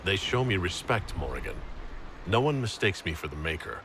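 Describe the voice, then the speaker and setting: A man playing a steady, modest hero who leads an army in a fantasy game, with a plain, commanding, direct manner. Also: deep voice, normal speed, background noise. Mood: calm authority. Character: steady, modest heroic male leader of a holy army. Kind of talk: dramatic fantasy game acting.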